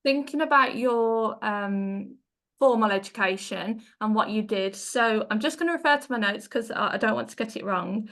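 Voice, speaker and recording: A woman with a fairly high voice leads the interview. She asks questions in a friendly, chatty way and laughs easily between them. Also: moderate pace, fairly bright tone. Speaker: interviewer host.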